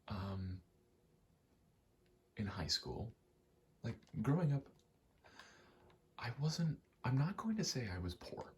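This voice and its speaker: A man in his twenties doing a casual ASMR gaming ramble, soft-spoken and often whispering, in a halting, meandering way. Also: mid-range voice, big pitch swings. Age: 20s. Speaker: ASMR gaming video host. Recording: faint noise, game button clicks. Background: controller clicking, faint background noise.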